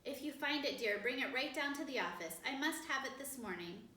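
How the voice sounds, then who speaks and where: A woman's voice: sits high, expressive, brisk, pushed and bright, a little hiss or hum, voice pushed up. A woman voicing a harried father in a rush, calling out urgently. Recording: roomy, distant mic.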